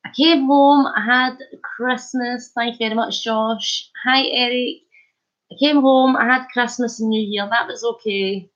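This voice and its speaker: A Scottish woman talks casually on a live stream, her voice fairly high and a bit thick from a head cold. She chats warmly and greets viewers as she goes. The sound is dull and lo-fi, as from a phone. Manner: chatty, warm greetings, chuckles. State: head cold, sore throat. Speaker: vlogger hosting a live stream.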